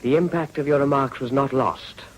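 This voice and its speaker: An actor playing a suave, self-satisfied, wry gentleman politician with urbane formality in a period radio drama. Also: mid-range voice, moderate pace, lightly acted, low-quality recording. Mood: smooth, self-satisfied. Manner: urbane, drawing-room formality.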